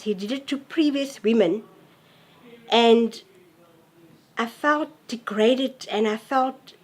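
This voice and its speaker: Soft-spoken woman in her forties. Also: on the high side, poor audio. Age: 40s. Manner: halting, earnest, soft-spoken. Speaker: interviewee. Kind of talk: personal testimony to camera.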